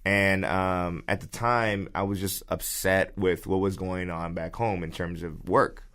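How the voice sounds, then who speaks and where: A man's voice: low-pitched, steady tone, a little slow, fairly bright tone, clean tone. A man, a stand-up comedian from the United States, telling stories casually on a podcast, repeating words as he goes. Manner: storytelling, repeats words, acts out quotes.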